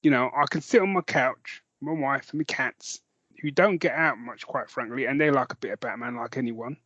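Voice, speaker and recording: A man's voice: mid-range voice, moderate pace, a bit bright, no room sound. British man chatting, slightly muffled, dry recording. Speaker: podcast co-host. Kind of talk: casual chat about films and comics.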